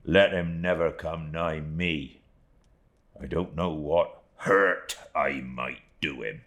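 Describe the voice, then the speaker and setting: An old fisherman, voiced by a man reading an audiobook, grieving and devoted. He speaks low and very slowly, in halting, heartfelt that moves between hushed and swelling. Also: heavily acted. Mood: bitter, anguished. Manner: slow, emotional, halting storytelling with swelling and hushed moments. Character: grieving, devoted, rustic old English fisherman recounting his niece's ordeal.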